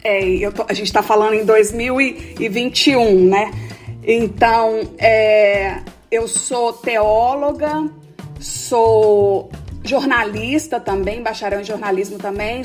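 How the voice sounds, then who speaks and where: A woman in her forties from Belo Horizonte with a Mineiro accent, introducing herself as a theologian guest in a measured way. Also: fairly high pitch. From Belo Horizonte, Brazil. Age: 40s. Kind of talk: self-introduction in an interview.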